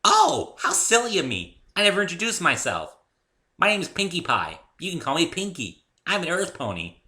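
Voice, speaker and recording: A man voicing a bubbly, excitable young female cartoon pony with a childlike, eager manner in a story reading. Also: high voice, moderate pace, voice pushed up, exaggerated voice. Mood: cheerful. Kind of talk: amateur dramatic story reading.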